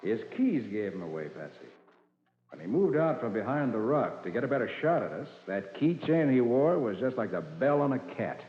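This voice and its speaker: An assured detective in a vintage radio mystery. His delivery is very lively and methodical, on a dull recording with background hiss. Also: medium pitch, normal speed. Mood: assured, explanatory. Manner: assured, explanatory. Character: confident, methodical male private detective. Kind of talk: old-time radio drama acting.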